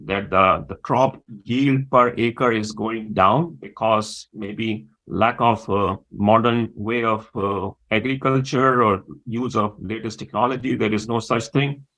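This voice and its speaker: Halting man, gravelly voice. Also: on the low side, steady tone, fairly bright tone, poor audio. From Pakistan. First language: Urdu. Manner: halting, many pauses and fillers. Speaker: guest. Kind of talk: interview conversation.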